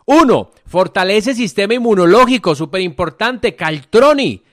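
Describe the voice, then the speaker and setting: Folksy Colombian man, slightly high voice. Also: lively intonation, moderate pace, a bit bright, dry recording, studio quality. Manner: folksy, colloquial, addresses listeners directly with diminutives. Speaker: natural medicine doctor giving health advice on a radio show. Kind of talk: conversational radio health advice and product promotion.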